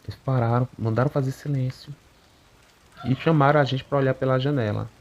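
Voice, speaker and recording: A man tells a supernatural story in the first person, unhurried and chatty, often tagging on little questions. His mid-range voice has a gravelly fry to it. The recording is slightly muffled, with faint rain falling behind him. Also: mid-range voice, fairly even intonation, a little hiss or hum. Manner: conversational, unhurried, with frequent tag questions. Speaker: channel narrator reading a listener's account.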